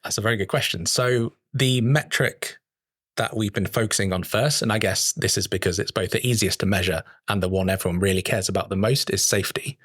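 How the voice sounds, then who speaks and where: A man with a fairly low voice talks as a researcher in a podcast interview. He puts technical ideas in simple, conversational terms. The sound is very dry and free of room echo. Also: brisk, fairly bright tone. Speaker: project researcher being interviewed.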